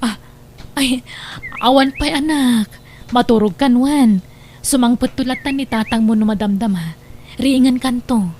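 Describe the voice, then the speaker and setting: A fairly high female voice of an actress plays a worried wife and mother in a domestic radio-style drama. Her delivery is emotive and sighing, over slight recording noise. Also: unhurried, a little mellow, lightly acted. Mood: gentle. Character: suspicious, worried wife and gentle mother of young children. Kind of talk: Ilocano audio drama acting.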